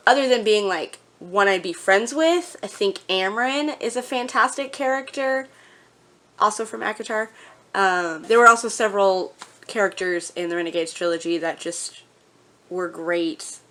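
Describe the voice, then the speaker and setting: A woman's voice: mid-range voice, a bit bright. A woman vlogger chatting casually to her viewers in a gushing, emphatic way. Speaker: book vlogger.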